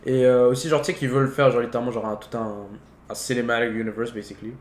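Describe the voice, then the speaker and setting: A man's voice: mid-range voice, level tone, fast talker. A young man chatting casually about films, peppering his slangy talk words. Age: young adult. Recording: roomy echo, faint background noise.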